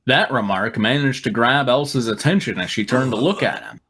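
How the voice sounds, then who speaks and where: Animated man narrating, sarcastic. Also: mid-range voice, moderate pace, slightly rough. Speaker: host and narrator. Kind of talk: reading fan fiction aloud with mocking asides.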